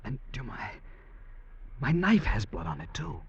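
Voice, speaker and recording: An actor playing a bewildered, frightened convict in old radio drama, speaking with hushed, urgent pleading. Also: male voice, medium pitch, big pitch swings, unhurried, gravelly, husky, exaggerated voice. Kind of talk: 1940s-50s radio acting. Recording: muffled, faintly noisy old radio broadcast.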